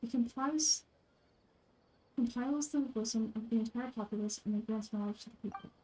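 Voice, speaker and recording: A woman's voice: on the high side, moderate pace, dark tone, poor audio. A woman reading scripted video game dialogue aloud as an amateur voice-over performer.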